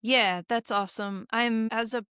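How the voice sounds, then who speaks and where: A woman's voice: on the high side, fairly even intonation, unhurried, fairly bright tone, clear, resonant tone, dry recording, studio quality. A woman hosting a podcast, asking her guest questions in a friendly, upbeat and loose way. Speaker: podcast host. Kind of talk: casual interview talk.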